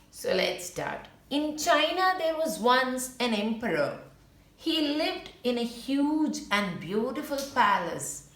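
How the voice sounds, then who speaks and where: A woman reads a children's storybook aloud with a lively, swooping melody to her voice. She works as a storyteller, adding small asides about the pictures. The recording is roomy and echoing. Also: fairly high pitch. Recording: roomy, echoing indoor sound.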